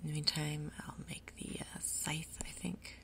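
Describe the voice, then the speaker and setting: A woman giving soft-spoken ASMR game commentary in a breathy, gentle, unhurried way. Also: deep voice, flat delivery. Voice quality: breathy, whispery. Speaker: gaming video host.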